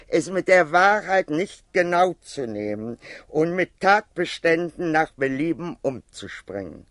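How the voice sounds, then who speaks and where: High-pitched man reading a formal essay. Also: no room sound, studio quality.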